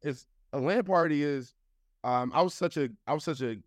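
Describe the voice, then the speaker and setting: A man's voice: on the high side, moderate pace, no echo, clean studio sound. A man in his thirties, a guest in a casual interview, talking loosely with lots of "you know" and "like" fillers. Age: 30s.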